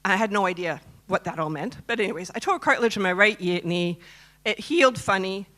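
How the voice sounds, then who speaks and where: A woman's voice: medium pitch, fairly quick, fairly bright tone. Woman, 50s, from Canada; conference speaker, chatty storyteller who asks the audience questions. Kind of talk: personal story talk to a live audience. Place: conference stage.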